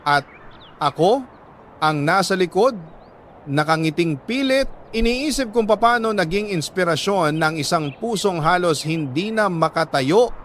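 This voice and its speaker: A man with a Filipino accent reading a listener's letter as a radio drama host, in a sorrowful, deliberate storytelling manner with dramatic pauses. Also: sits high, fairly bright tone, slight background noise.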